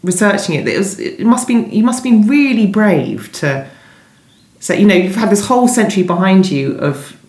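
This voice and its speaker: A woman's voice: mid-range voice, lively intonation, moderate pace, darkish tone. A woman, a novelist being interviewed, answering conversationally and thinking aloud as she rephrases. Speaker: novelist being interviewed about her book. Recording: roomy, echoing indoor sound.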